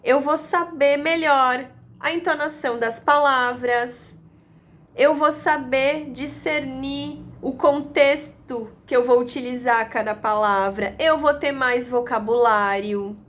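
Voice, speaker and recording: A woman giving a calm, explanatory lesson, repeating her points and using analogies. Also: high-pitched. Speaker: astrology teacher.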